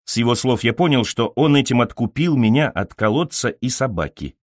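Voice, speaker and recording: A man narrates literary fiction in the first person. His delivery is measured and expressive, with intonation that moves widely up and down at a medium pitch. The close microphone gives a very dry sound with no room echo. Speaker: audiobook narrator.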